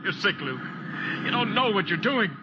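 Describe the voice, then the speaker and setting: A desperate, loyal man in a vintage radio play, imploring a friend with urgent sincerity. His voice sits a little high, and the old recording is muffled and thick with noise. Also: sing-song, moderate pace. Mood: desperate pleading. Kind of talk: 1940s radio drama acting.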